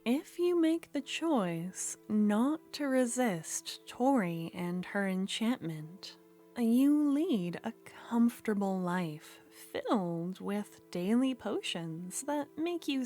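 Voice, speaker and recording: A woman narrating a second-person ASMR story in a slow, soft, partly whispered voice. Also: mid-range voice, animated, soft background music.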